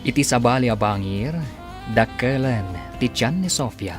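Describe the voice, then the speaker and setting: A man's voice: medium pitch, lively intonation, moderate pace. A man with a Filipino accent announcing and narrating a scripted radio drama serial. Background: music bed.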